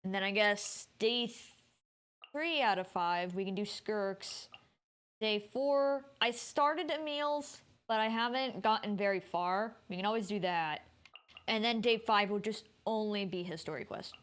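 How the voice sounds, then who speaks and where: A woman's voice: mid-range voice, measured pace, a bit bright, smooth. A woman game streamer giving casual, chatty commentary and thinking aloud as she plays.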